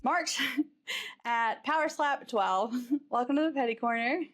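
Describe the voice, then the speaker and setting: Slightly high-voiced woman hosting an interview. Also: unhurried, a bit bright, faint music behind. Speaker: podcast host interviewer. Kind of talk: casual interview chat.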